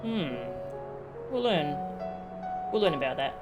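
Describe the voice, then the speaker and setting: Low-voiced woman streaming over game music. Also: sing-song, slow, muffled recording. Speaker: game streamer reading dialogue aloud and chatting with viewers. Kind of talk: casual livestream chat. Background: video game music.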